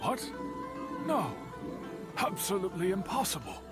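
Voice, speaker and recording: Composed game elder, earnest, formal, worried uncle, lighter-pitched voice, clear background music. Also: male voice, huge pitch swings, a little slow, full character voice. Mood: firm, earnest. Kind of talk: video game character acting.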